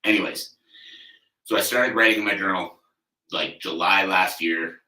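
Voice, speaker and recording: Man in his thirties, low and bright, rambling casual podcast talk, a bit off-mic in a reverberant room. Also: fairly even intonation, normal speed. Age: 30s. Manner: conversational, loose, frequent "you know" and "like" fillers, occasional swearing. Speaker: athlete coach, podcast host. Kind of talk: casual solo podcast monologue. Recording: echoey room, mic at a distance.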